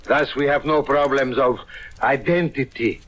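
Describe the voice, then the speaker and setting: An actor playing a courteous but condescending palace official in an old radio drama, speaking in a formal, polished manner. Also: male voice, mid-range voice, unhurried, a bit bright. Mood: smug. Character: haughty, courteous male palace official, smugly traditional.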